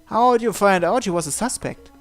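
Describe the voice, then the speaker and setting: Higher-voiced man reading dramatically. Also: brisk. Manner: acts out the protagonist's lines. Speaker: video host reading a visual novel aloud. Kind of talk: dramatized reading of narration and dialogue. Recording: music playing behind the voice.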